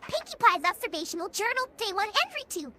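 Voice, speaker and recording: A synthetic female voice playing an excitable young pony detective in a fan cartoon audio drama, chirpy and self-important. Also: very high-pitched, fast, full character voice. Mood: secretive, eager. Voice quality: synthetic cartoonish text-to-speech voice.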